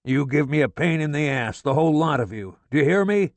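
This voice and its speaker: A man voicing a furious, foul-mouthed middle-aged father who rants and shouts curses at his family. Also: fairly high pitch, animated, brisk, no room sound, voice pushed up, natural voice. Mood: bellowing.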